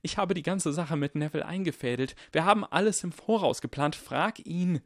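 A man's voice: a little high, brisk, no echo, studio quality, voice pushed up, lightly acted. A man voicing an earnest, guilt-ridden boy hero in an audiobook, with a strained voice. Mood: desperate. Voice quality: strained, sometimes hoarse.

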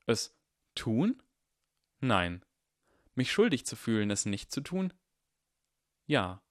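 An anguished young boy hero from an audiobook, performed by a man. He sounds strained and earnest, weighed down by guilt, with lively intonation. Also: mid-range voice, takes it slowly, no room sound, studio quality. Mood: subdued. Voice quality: strained, sometimes hoarse.

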